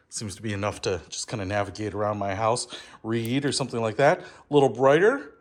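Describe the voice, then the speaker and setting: An upbeat man talks through a gadget review in a studio, playful and showy in his delivery. His voice sits at an ordinary pitch for a man, with a faint hiss of noise behind him. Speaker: product reviewer and channel host. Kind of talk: casual product review.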